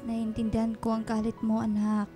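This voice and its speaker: A woman playing a gentle, caring older nanny in a radio drama, soothing and patient. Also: on the high side, completely flat delivery, fairly quick, soft background music, natural voice. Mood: worried, tender. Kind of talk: Filipino radio drama acting.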